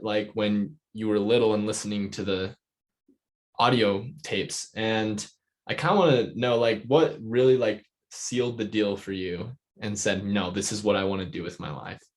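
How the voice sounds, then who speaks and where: A man hosting a podcast interview in a casual, tentative way, with informal and halting phrasing. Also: on the low side.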